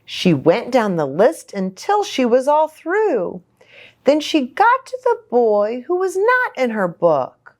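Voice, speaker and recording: A woman hosting a children's story time, reading a picture book in an expressive, sing-song way and speaking to young children. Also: a little high, takes it slowly. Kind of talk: animated children's picture-book read-aloud.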